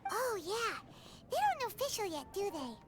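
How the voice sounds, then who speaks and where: A woman voicing a tiny, childlike floating companion in an anime video game, chatty, squeaky and excitable. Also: normal speed. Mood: chirpy. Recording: loud music behind voice. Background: loud game music.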